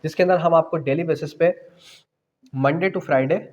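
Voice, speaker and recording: A man's voice: a little high, a little slow, a little mellow, lo-fi sound. A man with a Pakistani accent lecturing exam students, explaining by posing questions and answering them, mixing in English phrases. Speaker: law exam tutor. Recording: long hall-like reverb.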